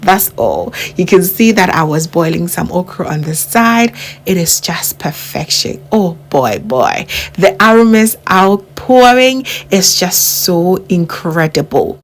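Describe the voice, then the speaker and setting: Lively Ghanaian woman hosting a cooking show. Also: on the low side, measured pace. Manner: enthusiastic, repeats words for emphasis, addresses viewers as family. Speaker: cooking channel host. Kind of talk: cooking tutorial voice-over.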